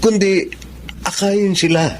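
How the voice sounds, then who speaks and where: A man's voice: sits high, fairly even intonation, takes it slowly, slight background noise. A man with a Filipino accent acting as a kindly, teasing university professor in a radio-style drama. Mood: earnest.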